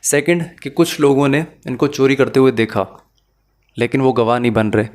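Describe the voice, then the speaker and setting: A man's voice: medium pitch, moderate pace. A man with a Pakistani accent giving an explanatory law lesson in a methodical, step-by-step manner. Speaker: law lecturer. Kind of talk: explanatory lesson mixing Urdu and English.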